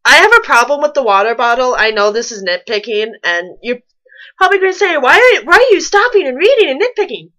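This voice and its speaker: Bright, high-pitched woman, very lively reader. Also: fast talker. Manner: wry, nitpicking. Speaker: fan fiction reader and commentator. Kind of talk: reading a story aloud with mocking asides.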